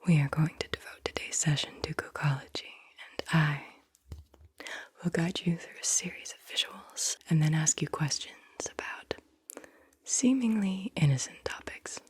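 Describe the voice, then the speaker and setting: Breathy whispering ASMR woman. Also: low voice, animated, dry recording. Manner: soothing, slow and deliberate, repeats words softly. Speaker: ASMR host guiding visualization exercises. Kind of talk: whispered ASMR guided visualization.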